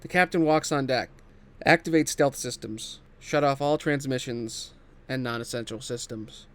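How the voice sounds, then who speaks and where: A man's voice: medium pitch, normal speed, clear, resonant tone. A man narrating scripted stage directions at a table read.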